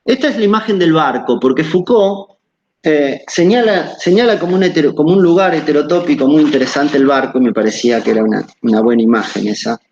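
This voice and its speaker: A man's voice: on the high side, fast, a little mellow, dull audio, slight background noise. A man giving an academic lecture over a video call, hesitant, with many fillers and tag questions.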